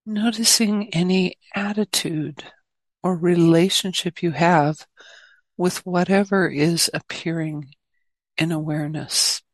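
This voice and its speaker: Slow, low-pitched woman guiding meditation. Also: no echo. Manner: gentle, spacious phrasing with long pauses. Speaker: meditation teacher. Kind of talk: guided meditation.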